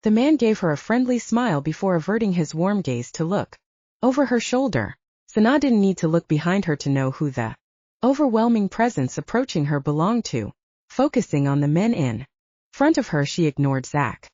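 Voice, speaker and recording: A woman's voice: on the low side, sing-song, normal speed, dark tone, no echo, lo-fi sound. A woman reading romance fiction aloud as an audiobook narrator, in a scripted storytelling style.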